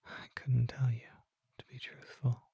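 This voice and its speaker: Casting: mysterious vampire merchant played by an ASMR performer, suave and unhurried, hushed dark whisper with gravelly creak. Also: mid-range voice, flat delivery, no room sound, lo-fi sound, heavily acted. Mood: hushed, intrigued. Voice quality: breathy, whispery. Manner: measured, formal, coy rhetorical questions. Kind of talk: ASMR roleplay, soft whispering.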